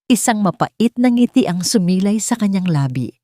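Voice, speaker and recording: A woman storyteller reading a scripted romantic drama in a solemn, emotive and deliberate manner. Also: mid-range voice, animated, dark tone, dry recording, clean studio sound.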